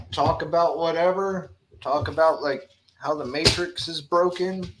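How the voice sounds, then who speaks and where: A man's voice: fairly high pitch, background noise. A man chatting casually on a livestream panel, loose and swearing, laughing easily.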